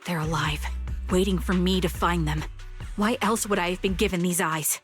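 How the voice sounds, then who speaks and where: A woman acting a low-voiced, haunted soldier. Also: moderate pace, soft background music, natural voice. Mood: defiant conviction. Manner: earnest, restrained. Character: stoic, haunted female soldier with impaired sight, guarded and wounded. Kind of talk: video game voice acting.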